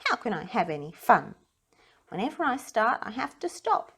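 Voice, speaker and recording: A woman voicing a young male locomotive in a storybook, eager, playful and quick to get cross, with childlike exclamations. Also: a little high, big pitch swings, pushed and bright, breathy edge, pitched above the performer's own voice, heavily acted. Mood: frustrated.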